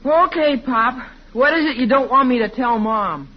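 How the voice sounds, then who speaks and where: A woman's voice: sits high, normal speed, natural voice. A woman playing a blunt, skeptical American boy in an old radio comedy, with an earnest, questioning manner. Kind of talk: 1940s radio comedy acting. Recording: muffled, hissy old radio recording.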